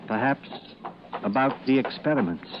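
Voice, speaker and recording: A man playing a grave, brooding detective inspector with formal, deliberate speech in a vintage radio drama. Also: mid-range voice, lively intonation, background noise, close to an everyday voice. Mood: probing. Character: grave, self-doubting male detective inspector narrating his investigation. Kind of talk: 1940s radio drama acting. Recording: muffled old-time radio broadcast, music behind.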